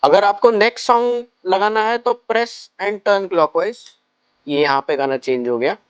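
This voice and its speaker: Higher-voiced man giving a product walkthrough. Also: a little slow, clean tone, no echo, muffled recording. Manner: explanatory, mixing in English phrases. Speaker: product reviewer and shop presenter. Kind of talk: casual step-by-step product tutorial.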